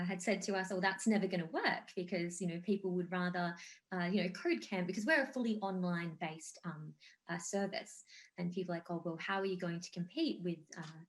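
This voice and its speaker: A woman in her thirties speaking on an online panel as a game company founder, enthusiastic and fluent with some fillers, heard over a video call. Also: fairly low pitch.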